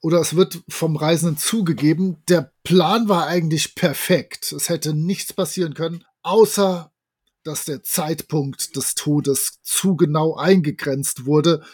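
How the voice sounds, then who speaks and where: Man, raised pitch, raspy tone, podcast co-host, opinionated, conversational. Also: measured pace, darkish tone. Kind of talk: casual review chat.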